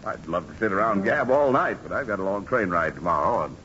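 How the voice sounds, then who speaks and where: An actor playing a genial but evasive American houseguest in 1940s radio comedy, hearty, vague and hesitant. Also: male voice, fairly low pitch, sing-song, fast, sharp tone. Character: genial, evasive old school chum, male houseguest. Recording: noisy, muffled 1940s radio recording.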